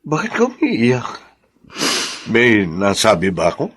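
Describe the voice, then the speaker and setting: An actor playing a humble, kindly, awkward man in a Filipino drama, speaking haltingly. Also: mid-range voice, huge pitch swings, fairly bright tone, slightly rough, no echo, studio quality, exaggerated voice. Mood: concerned, consoling. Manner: hesitant, halting. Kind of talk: Filipino radio-style drama acting.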